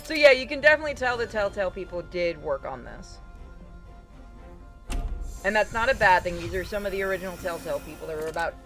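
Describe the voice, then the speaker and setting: A man's voice: sits high, lively intonation, quick pace, long pauses, sharp tone. A man streaming a game with casual commentary, blunt, sweary and opinionated. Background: game music.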